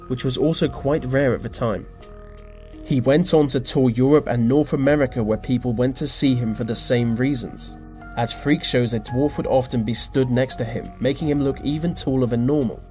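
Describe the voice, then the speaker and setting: A man reading scripted narration for a list video, slipping into dry, deadpan asides. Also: mid-range voice. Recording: music behind the voice. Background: background music.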